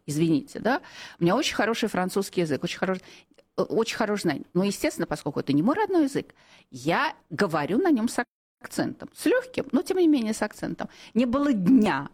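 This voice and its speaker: A woman's voice: medium pitch, a little mellow. A middle-aged woman from Russia, a journalist giving heated, opinionated answers on a radio show, with frequent fillers and self-corrections.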